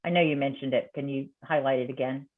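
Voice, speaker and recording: A man's voice: medium pitch, fairly even intonation, fast talker. A man moderating a meeting, reading out audience questions, hesitant and thinking aloud. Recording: tinny phone-like line.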